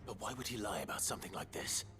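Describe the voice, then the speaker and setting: Actor as low, hushed, stoic hero. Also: male voice, lively intonation, close to an everyday voice. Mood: grave warning. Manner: measured, grave, sincere. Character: stoic, steadfast, low-voiced heroic lord. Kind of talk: dramatic video game acting. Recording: loud music behind.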